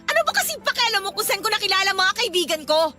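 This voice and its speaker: A woman playing an irritable, fed-up, sharp-tongued girlfriend in a Filipino radio drama, with a Filipino accent and a scolding manner. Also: very high voice, big pitch swings, fast talker, exaggerated voice. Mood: defiant, irritated. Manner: snappish, scolding, exasperated. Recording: music behind the voice.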